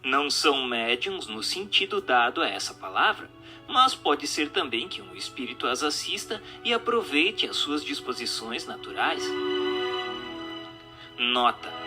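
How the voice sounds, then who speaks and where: A man's voice: medium pitch, bright, forceful tone. A man reads a scripted question-and-answer text in a formal, didactic manner. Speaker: narrator reading a spiritist book. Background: music.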